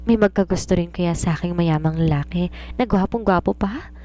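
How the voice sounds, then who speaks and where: A woman's voice: on the low side, a little fast, warm tone, close to an everyday voice. Give a naive young housemaid from a romance audiobook, acted by a woman narrator. She is curious and dreamy, asking her questions eagerly and with respect for her elder. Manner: inquisitive, respectfully addressing an elder. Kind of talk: audiobook character dialogue.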